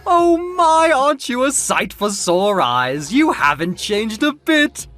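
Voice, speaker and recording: A man voicing an elegant, camp fashion boss in an audio drama, effusive, smirking and condescending, with drawn-out emphasis. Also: very high voice, big pitch swings, fairly bright tone, faint music behind, pitched above the performer's own voice, heavily acted. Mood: gushing.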